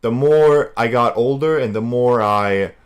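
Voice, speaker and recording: A man's voice: mid-range voice, measured pace. A young man from Latvia streaming casually, rambling in a laid-back way and calling everyone "bro".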